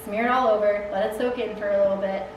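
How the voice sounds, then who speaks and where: A woman's voice: medium pitch, fairly even intonation, quick pace. A young woman chatting casually in a Q&A, laughing often and using lots of fillers. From United States. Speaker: Peace Corps volunteer answering questions. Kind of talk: casual Q&A chat. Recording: echoey room, distant mic, background noise.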